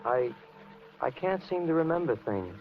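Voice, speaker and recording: A man's voice: medium pitch, sing-song, normal speed. Weary, polite, unsettling man. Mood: weary, eerie. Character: tired, confused, quietly menacing drifter, soft and polite. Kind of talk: 1940s radio suspense acting. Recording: noisy, muffled old radio recording.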